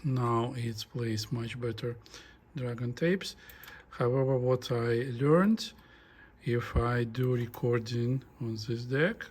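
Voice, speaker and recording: A man giving a casual hands-on demonstration, murmuring halting step-by-step remarks as he works, recorded on a phone. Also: mid-range voice, fairly even intonation, darkish tone, husky. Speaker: hobbyist demonstrating a tape deck repair. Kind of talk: casual hands-on repair demonstration, talking while working. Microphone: phone held in front of him.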